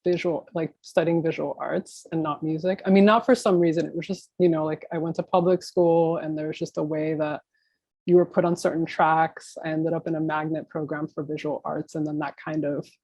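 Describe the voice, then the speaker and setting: A woman's voice: sits low, level tone, brisk, a little mellow, no echo. An American woman from Miami speaking casually as an interview guest, thinking aloud in an informal, rambling way. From Miami, United States. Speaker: interview guest, new media artist.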